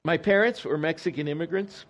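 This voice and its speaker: A man's voice: fairly high pitch, fairly quick, fairly bright tone. A man in his sixties, a retired pastor whose first language is Spanish, speaking to a congregation in a chatty, self-deprecating way. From Detroit, USA. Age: 60s. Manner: conversational and self-deprecating, frequent ums and restarts. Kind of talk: informal talk to a congregation.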